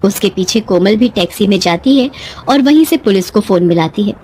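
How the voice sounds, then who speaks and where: A woman's voice: medium pitch, expressive, moderate pace, warm tone, slight background noise. A woman narrating a scripted moral bedtime story as its storyteller.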